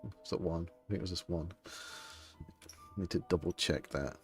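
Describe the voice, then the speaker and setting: A man chatting casually while livestreaming a game, in a rambling, self-interrupting, low-key way. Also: moderate pace. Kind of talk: casual stream chat. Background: soft game music.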